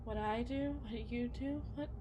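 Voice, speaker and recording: A woman playing an anxious, lovesick suburban wife and mother in an ASMR roleplay, speaking in a halting, emotional way. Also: on the high side, fairly even intonation, background noise, lightly acted. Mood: tearful devotion. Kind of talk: ASMR roleplay, dramatic monologue.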